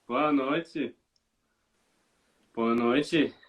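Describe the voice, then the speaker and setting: Slow-talking man, easygoing. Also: medium pitch. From Switzerland. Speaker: football coach, guest. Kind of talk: casual interview answers in English.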